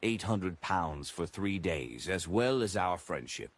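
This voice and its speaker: A man's voice: low voice, big pitch swings, slow pace, heavily acted. A man voicing an anxious, stingy chubby apothecary who speaks hesitantly in an audiobook. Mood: uneasy, bargaining. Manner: hesitant, unsure.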